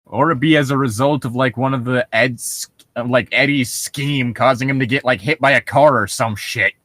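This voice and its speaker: A man's voice: medium pitch, normal speed, a bit bright, no echo. A man co-hosting with casual comedic commentary, joking and swearing freely. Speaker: co-host.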